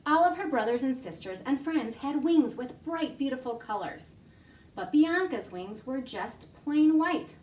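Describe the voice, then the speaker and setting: A woman librarian reading a picture story aloud to young children from her home, animated and full of gasps. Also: on the high side, recorded from a distance, a little hiss or hum. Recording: echoey room, voice off-mic.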